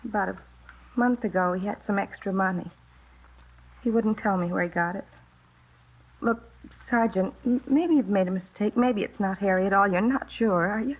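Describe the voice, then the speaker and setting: A woman's voice: medium pitch, moderate pace, close to an everyday voice. An actress playing a loyal, anxious young wife and mother in a 1950s radio drama, speaking politely and with earnest hesitation. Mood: pleading, distressed. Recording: dull, muffled old radio recording.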